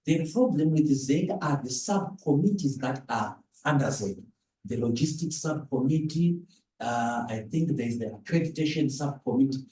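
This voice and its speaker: A Zimbabwean man with a dark, relaxed, mid-pitched voice speaks as a politician at a public dialogue. He picks his words deliberately, pausing and repeating for emphasis. The live recording is echoey, with the microphone some way off. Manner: deliberate, hesitant phrasing with emphatic repetition. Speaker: opposition politician, panel speaker. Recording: roomy live event recording, slightly distant mic.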